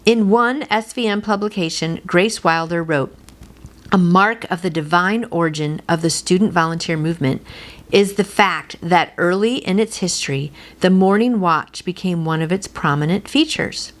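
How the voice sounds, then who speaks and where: A woman's voice: on the low side, normal speed. A middle-aged woman hosting a devotional, reading and then encouraging the listener in an earnest, personal, informal way. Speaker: devotional host and reader.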